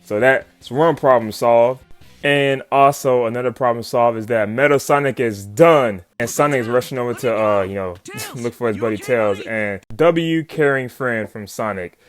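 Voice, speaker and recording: A man's voice: mid-range voice, normal speed. Slangy hyped-up man over background music. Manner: slangy, swearing, often chuckling hype talk. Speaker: YouTube comic commentator. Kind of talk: casual excited comic recap commentary.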